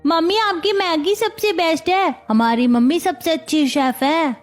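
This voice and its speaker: A woman's voice: very high-pitched, measured pace, faint music behind. A woman voicing an eager, adoring small child in a cartoon. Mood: proud, happy. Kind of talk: scripted cartoon dialogue.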